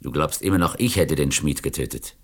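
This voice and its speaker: An actor playing a coolly arrogant, menacing criminal mastermind in a German radio play, with a taunting, deliberate delivery. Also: male voice, extremely low pitch. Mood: cool, musing.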